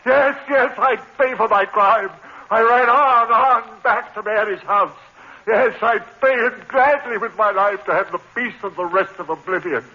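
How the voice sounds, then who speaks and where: A man's voice: high-pitched, unhurried, muffled recording. A man playing an anguished, haunted murderer in overwrought 1950s horror drama style, on an old broadcast recording. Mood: desperate. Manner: frantic, melodramatic, overwrought. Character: anguished condemned murderer haunted by a demon woman.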